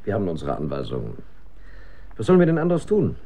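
Casting: a cold civil defence officer in a radio drama, deep, commanding, with very wide pitch swings. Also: male voice, huge pitch swings, fast, gravelly, mellow, slight background noise, full character voice. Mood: stern, menacing. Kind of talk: German radio drama acting.

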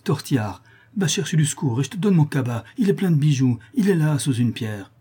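A man's voice: on the high side, expressive, normal speed, dark tone, slightly rough, voice pushed up. A man voicing a desperate, wicked old woman, breathless and exhausted, pleading for her life in a storybook reading.

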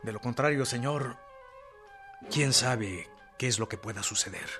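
An actor playing an earnest government envoy in a radio drama, formal, respectful and insistent, with a Mexican accent. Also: male voice, mid-range voice, a little slow, over music, natural voice. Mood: earnest pleading.

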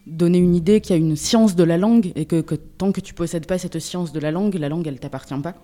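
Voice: medium pitch, quick pace, dark tone. A speaker in a casual radio discussion, talking in a reflective, conversational way. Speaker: radio show panelist.

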